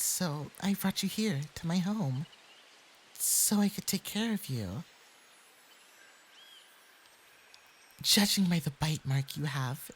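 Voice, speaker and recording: A man playing a young kitsune fox boy who is sweet, polite and quietly possessive, speaking gently and hesitantly in an intimate ASMR roleplay with a soft, breathy voice. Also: sits high, a little hiss or hum, close to an everyday voice. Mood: calm, concerned. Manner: gentle, hesitant, coy, conversational.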